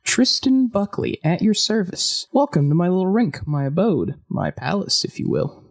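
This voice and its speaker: Actor as upbeat male drifter and ex-convict for audio drama; creaky, lively, a bit grandiose. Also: medium pitch, normal speed, full character voice. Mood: breezy, playful. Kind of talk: post-apocalyptic audio drama acting.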